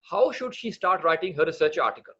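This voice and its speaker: A man's voice: on the high side, lively intonation, fairly quick, a bit bright, husky, muffled recording. A man from Pakistan, not a native English speaker, teaching an online training session on research writing, earnest and full of hesitant fillers. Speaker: medical writing instructor.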